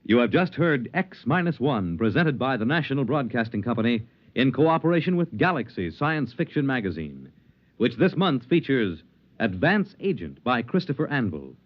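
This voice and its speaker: A man with an American accent giving formal, scripted announcements in 1950s network radio style. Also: mid-range voice. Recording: muffled vintage radio recording, music behind.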